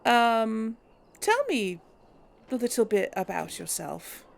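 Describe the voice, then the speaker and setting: A woman's voice: on the high side, sing-song, slow, vocal fry. A young woman playing a role-play game, chatting casually and musing aloud with many hums.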